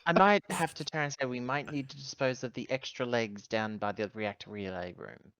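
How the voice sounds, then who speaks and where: A woman's voice: a bass voice, moderate pace, fairly bright tone, no echo, dull audio. A woman playing a tabletop roleplaying game in character, with casual, wry, joking banter.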